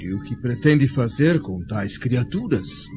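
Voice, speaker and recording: A man's voice: mid-range voice, animated, measured pace, lightly acted. A man acting as a dignified, dutiful Roman senator with a conscience, formal and theatrical, in a radio drama. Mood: uneasy, troubled. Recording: muffled recording with music behind.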